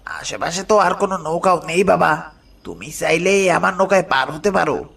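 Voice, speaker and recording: A man acts out an older village boatman from a horror cartoon, kindly but hesitant and easily hurt. His voice is raised in pitch, husky and gravelly, with a pleading, self-effacing way of speaking. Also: expressive, normal speed, a bit bright, exaggerated voice. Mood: concerned, offering. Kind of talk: animated horror story voice acting.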